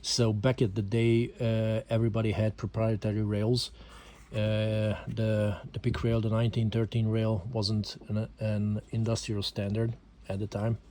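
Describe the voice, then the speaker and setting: A man's voice: lowish voice, little pitch movement, unhurried, mellow, a little hiss or hum. A man from Hungary with a Hungarian accent, speaking English as a second language and hesitantly explaining a handgun in a casual home video, with many fillers. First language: not English. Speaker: amateur gun reviewer. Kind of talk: casual amateur video talk.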